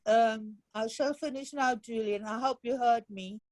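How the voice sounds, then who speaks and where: A woman's voice: a little high, measured pace, darkish tone. A woman sending a spoken farewell message as a mourner, faltering and emotional.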